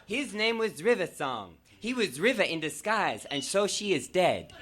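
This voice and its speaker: A man's voice: sits high, very animated, normal speed, a bit bright. A man performing a comedic reading as a melodramatic, gushing nineteen-year-old fangirl telling her own story with exclaiming emphasis. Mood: chirpy, earnest.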